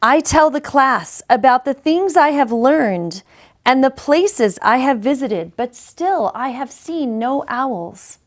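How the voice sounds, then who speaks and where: A woman reading a children's picture book aloud in a deliberate, measured way with dramatic pauses. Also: sits high, lively intonation. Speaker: story reader, school superintendent.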